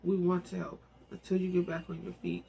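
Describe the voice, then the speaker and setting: A man's voice: high voice, monotone, fairly quick, gravelly, dull audio, slight background noise. A man reading the part of a caring, insistent female relative in a novel, earnest and coaxing.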